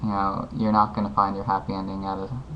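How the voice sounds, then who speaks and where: Guy, lowish and flat, rambling earnest advice vlog; muffled home-mic sound. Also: fast. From United States. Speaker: vlogger giving advice. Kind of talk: casual heartfelt advice monologue. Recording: dull lo-fi home video with faint hiss.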